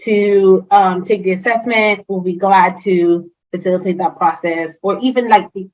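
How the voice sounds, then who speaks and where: A woman's voice: medium pitch, steady tone, normal speed, highs cut off. A woman whose first language is French, chatting as a guest in an interview, enthusiastic, with many fillers and restarts. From Haitian family, grew up speaking French and Creole. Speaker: guest, HR consultant. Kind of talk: casual interview chat.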